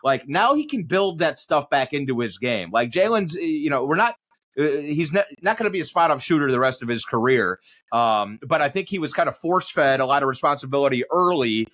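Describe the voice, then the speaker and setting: A man's voice: fairly high pitch, lively intonation, a little fast, fairly bright tone. A man who works as a basketball announcer, giving conversational sports analysis as a guest in long, digressive explanations. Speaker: basketball radio play-by-play announcer, podcast guest.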